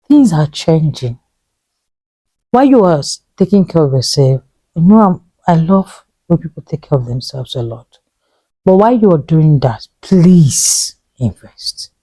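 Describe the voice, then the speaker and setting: A woman in her thirties with a Nigerian English accent, earnestly pleading with viewers and repeating her points, dropping to a low hush for emphasis. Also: no echo. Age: 30s. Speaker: vlogger giving money advice. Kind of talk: earnest motivational talk to camera.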